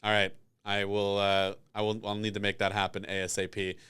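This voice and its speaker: A man's voice: on the low side, completely flat delivery, a little fast, bright, forceful tone, clear, resonant tone. A man hosting a podcast, chatting in a casual, rambling, conversational interview style. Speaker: podcast host.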